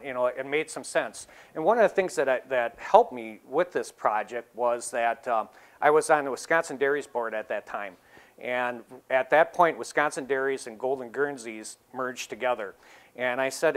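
A middle-aged dairy farmer giving a casual talk in a large exhibition hall, easygoing and full of "you know" fillers. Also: male voice, medium pitch. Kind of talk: casual talk to a seated audience.

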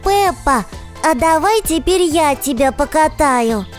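A woman voicing an excitable, playful little piglet brother in a children's toy cartoon, babyish and full of exclamations. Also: sits high, slow pace, faint music behind. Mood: excited.

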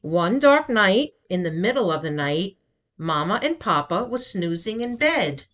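A woman reading a children's picture book as storyteller, expressive, with deliberate dramatic pauses. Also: mid-range voice. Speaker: read-aloud host and storyteller.

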